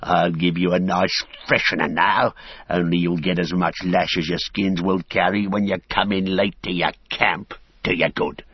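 A man's voice: sits low, fairly even intonation, normal speed, husky, no echo, dull audio. A man voicing a brutal orc slave driver in a fantasy storybook, snarling and jeering as he barks.

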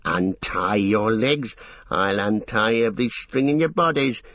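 A man's voice: lowish voice, measured pace, no echo, lo-fi sound. A man voicing a menacing, greedy orc in an audiobook, hissing and drawing out mock-tender words with cruel relish. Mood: furious. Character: sly, menacing male orc, greedy, falsely sweet, cruel. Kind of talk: audiobook fantasy villain.

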